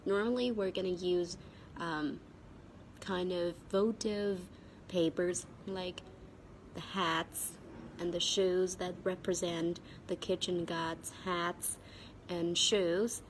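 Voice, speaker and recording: A Vietnamese-accented woman with a cold and sore throat gives a spoken English vocabulary lesson as a teacher, haltingly and repeating key words. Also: lowish voice, fairly even intonation, a little hiss or hum.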